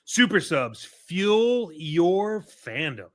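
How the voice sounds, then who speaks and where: A man's voice: high-pitched, big pitch swings, extremely slow, fairly bright tone. An American man co-hosting a podcast, moving between casual chat and scripted sponsor reads. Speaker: podcast co-host.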